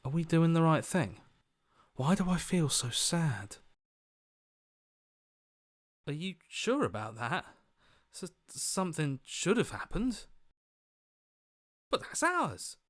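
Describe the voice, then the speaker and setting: An anxious, earnest hero, slow-paced. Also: male voice, fairly high pitch, sing-song. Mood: worried, questioning. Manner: hesitant, worried questioning with stammers. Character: anxious, earnest heroic inner voice, questioning and conscientious. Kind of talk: video game character acting.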